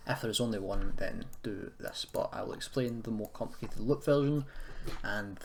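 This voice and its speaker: The low-voiced man on a homemade screen-capture tutorial, hesitantly working it out aloud over faint noise and typing, putting himself down as he goes. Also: moderate pace. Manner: hesitant, self-deprecating, thinking aloud. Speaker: tutorial presenter. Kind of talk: informal software tutorial, screen walkthrough. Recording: noisy room with keyboard clicking.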